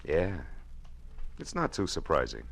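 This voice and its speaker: A man's voice: very deep voice, wildly swooping pitch, a little slow, creaky, fairly bright tone, slight background noise, full character voice. An actor playing a hardboiled, wisecracking male private detective, dry and terse, in an old-time radio drama. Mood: cool, probing. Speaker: lead actor and narrator. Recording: vintage radio recording with music.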